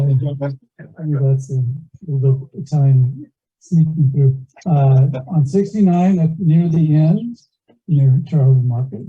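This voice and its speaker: A man's voice: a little high, dark tone, husky. A man giving a casual, rambling introduction of himself, on muffled meeting audio. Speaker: cabin owner introducing himself.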